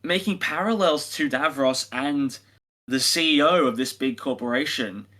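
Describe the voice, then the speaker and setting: Bright-voiced man, emphatic podcast co-host. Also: mid-range voice, normal speed. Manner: emphatic, sarcastic, sweary. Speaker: podcast co-host reviewing audio dramas. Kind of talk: casual opinionated discussion.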